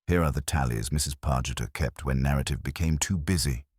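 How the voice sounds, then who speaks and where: A British man voicing a calm, courteous police inspector in a mystery story, measured, formal and dryly authoritative. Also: extremely low pitch, husky, no echo, studio quality. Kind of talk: storybook character dialogue in a mystery.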